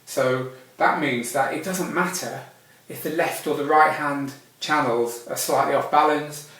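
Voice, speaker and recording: A man's voice: fairly high pitch, unhurried, fairly bright tone. Guy doing a casual dj tutorial, explains stuff practically, far from the mic, super echoey room. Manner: explanatory, conversational, hands-on. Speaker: DJ instructor. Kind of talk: informal spoken tutorial with demonstrations. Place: indoor room with strong echo. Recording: very echoey room, distant microphone.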